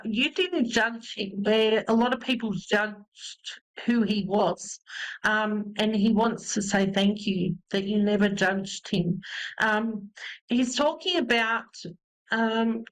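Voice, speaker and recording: A woman's voice: fairly high pitch, slow, a bit bright, poor audio. A snuffly woman, giving a psychic reading to a caller in a rambling way with many ums. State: has a cold, snuffly. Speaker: psychic medium host. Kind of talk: live call-in reading.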